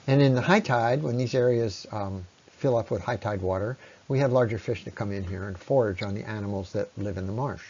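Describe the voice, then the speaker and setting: A man narrating an informal slide presentation in an explanatory way, with halting pauses. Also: fairly low pitch, a little mellow, highs cut off. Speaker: presenter on local ecology.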